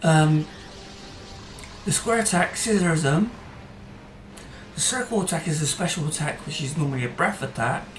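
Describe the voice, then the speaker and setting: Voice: medium pitch, moderate pace, pauses often, slight background noise. A gaming channel host giving casual, rambling commentary over gameplay, full of hesitant fillers and restarts, his voice tiring as he goes. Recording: roomy echo, faint music behind.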